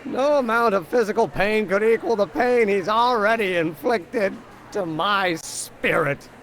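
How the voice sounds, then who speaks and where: A man's voice: sits high, a little slow, a bit bright, slight background noise. An actor voicing a stubborn, melodramatic young male inventor in a video game, theatrical and emphatic. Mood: indignant.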